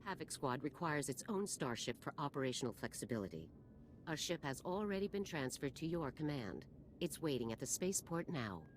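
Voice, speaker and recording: Stern female commander, low voice, animated emphasis, formal, authoritative, game briefing style. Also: brisk, a little hiss or hum. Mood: commanding. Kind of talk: video game character acting.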